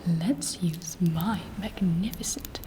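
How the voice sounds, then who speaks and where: A woman's voice: on the low side, takes it slowly, noisy background. A woman voicing an imperious, seductive vampire queen in a whispered ASMR roleplay, with haughty, sardonic, formal phrasing. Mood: sardonic, scheming.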